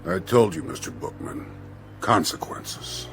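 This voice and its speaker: An actor playing Death as a patient, formal man, measured and coolly menacing, in a radio drama. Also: low voice, lively intonation, soft background music. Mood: coldly menacing. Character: patient, formal male Death in human guise.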